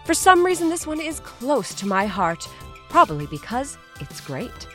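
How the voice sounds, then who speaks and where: A woman's voice: a little high, normal speed. Playful woman podcaster with swooping intonation. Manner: playful, theatrical, deadpan asides. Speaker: podcast host. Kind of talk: comedic scripted podcast promo. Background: faint music.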